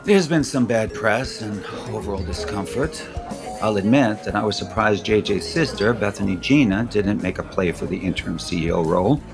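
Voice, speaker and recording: A man's voice: fairly low pitch, animated, normal speed, creaky. A breezy, probing businessman over music. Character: probing businessman father of a grown son. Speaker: actor in audio soap opera. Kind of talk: naturalistic soap opera dialogue. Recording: studio drama with music underneath.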